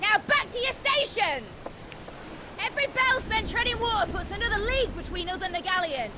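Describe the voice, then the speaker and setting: An actress playing an urgent, bossy ship's crew leader in a video game, calling out commands. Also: female voice, very high-pitched, animated, a little fast, crowd noise, background noise. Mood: urgent, commanding. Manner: commanding, projected calls. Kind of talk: dramatic video game cutscene acting.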